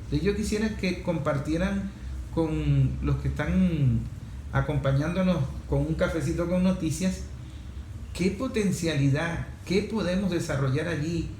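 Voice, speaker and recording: Higher-voiced Venezuelan man, roomy echo. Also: a little slow, a little mellow. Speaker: talk show host. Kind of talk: political commentary and interviewing on a current affairs talk show. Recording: roomy echo, faint background noise.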